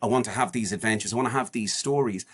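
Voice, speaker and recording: Male guest, 40s, low register, rambling conversational delivery with lots of restarts. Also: quick pace, a bit bright, husky. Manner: rambling, with frequent restarts and fillers. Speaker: guest, charity walker. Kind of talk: casual podcast chat.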